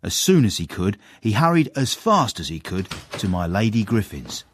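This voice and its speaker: A man playing a cheeky, sly young servant and self-satisfied storyteller in a radio drama, husky and wryly deferential. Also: lowish voice, sing-song, moderate pace, a little hiss or hum. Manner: wry, deferential with sly irony. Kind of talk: radio drama acting and narration.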